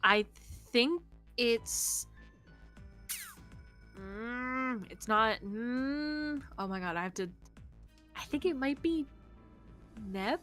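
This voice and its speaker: A woman co-hosting a gaming stream in casual chat, excitable, giggly and rambling. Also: fairly high pitch, very slow, long pauses, faint music behind. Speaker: streamer co-host.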